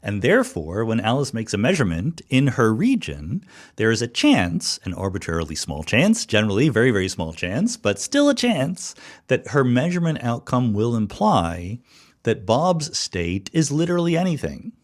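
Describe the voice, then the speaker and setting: A man's voice: medium pitch, huge pitch swings, moderate pace. A man hosting a podcast, giving informal, off-the-cuff explanatory answers and qualifying his points as he goes. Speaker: podcast host. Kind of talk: off-the-cuff spoken answers to listener questions.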